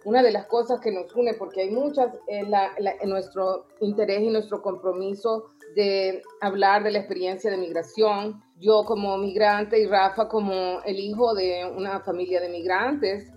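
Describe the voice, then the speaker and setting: A woman's voice: mid-range voice, steady tone, moderate pace, hardly any pauses, soft background music. A woman from El Salvador, a professor and artist, answering interview questions in a thoughtful, earnest way. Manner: thoughtful, earnest, occasional restarts. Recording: slightly dull lo-fi sound.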